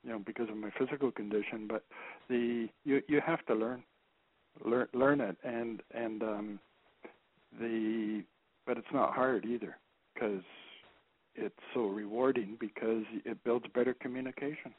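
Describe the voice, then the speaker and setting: Soft-spoken guest dude, mid pitch, keeps repeating himself, phone-line sound. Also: male voice, steady tone. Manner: halting, many repeats and restarts. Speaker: guest expert.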